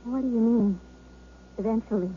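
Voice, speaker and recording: A woman's voice: a little high, moderate pace, slight background noise, natural voice. An actress playing a devoted wife anxious about her injured husband, in a 1970s radio drama. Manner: emotional, pleading. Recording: tinny phone-like old radio recording with loud music.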